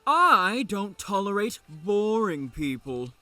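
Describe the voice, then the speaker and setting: A man's voice: high voice, very animated, slow, sharp tone, heavily acted. A man playing a mischievous, cocky young student with a teasing, drawling delivery in an audio drama.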